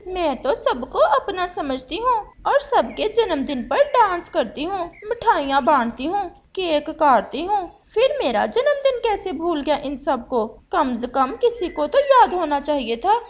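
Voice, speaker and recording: Woman voicing a very high, sulky crow wife. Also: sing-song, a little slow, hardly any pauses, heavily acted. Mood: hurt, sulky. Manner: whiny, complaining, theatrical. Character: sulky, dramatic female crow wife upset about forgotten birthday. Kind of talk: Hindi children's cartoon voice acting.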